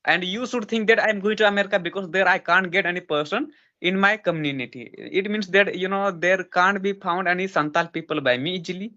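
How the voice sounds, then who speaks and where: A man's voice: sits high, fairly quick, sharp tone, muffled recording. A man, lecturing earnestly in a casual practice conversation, with many restarts and fillers. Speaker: host giving advice.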